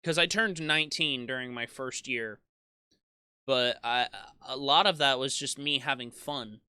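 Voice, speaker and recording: A man's voice: mid-range voice, unhurried, bright, forceful tone, clean tone, no echo. A man in his twenties, a YouTube gaming creator, reflecting in a casual monologue that rambles with frequent restarts and self-deprecating asides. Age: 20s.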